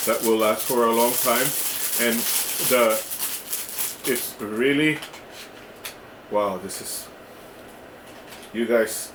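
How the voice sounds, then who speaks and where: A man giving a casual hands-on product demo in a halting way, often checking in with the listener. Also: fairly low pitch. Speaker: product reviewer. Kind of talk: casual hands-on unboxing demonstration. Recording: roomy echo with clear background noise.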